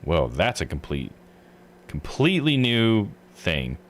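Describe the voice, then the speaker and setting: Low-voiced man rambling through game commentary. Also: sing-song, slow. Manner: rambling, thinking aloud. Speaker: game streamer. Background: music.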